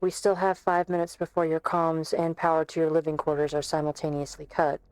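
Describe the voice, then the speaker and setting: A calm, formal doctor speaks with quiet resolve in a low register, voiced by a woman. The voice is dry and close to the mic, measured and courteous but unbending. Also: clear, resonant tone, no echo, lightly acted, low-quality recording. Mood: steady. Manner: composed, polite and firm. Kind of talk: amateur fan dub acting.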